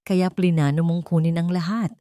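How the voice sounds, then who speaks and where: Lady reading as stern old grandpa don, calm but firm, low voice, dry recording. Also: normal speed, dry recording, lightly acted. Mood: firm, wounded. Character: stern, wounded old male patriarch, grandfatherly.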